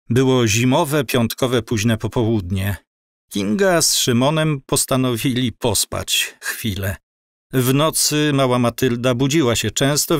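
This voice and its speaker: A male narrator tells a scripted story for an audio drama. His pace is slow and measured, his pitch is average, and the sound is dry and close. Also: no room sound.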